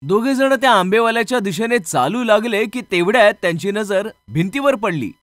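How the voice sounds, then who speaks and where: A man's voice: high voice, expressive, moderate pace, a bit bright, dry recording. A man narrating a scripted horror story in a dramatic storytelling style.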